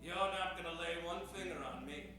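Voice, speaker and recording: A man playing a gruff, threatening Old West sheriff in an improvised radio comedy. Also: high-pitched, a little fast, distant mic, natural voice. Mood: defiant. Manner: threatening, stubborn. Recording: echoey hall from afar, music behind.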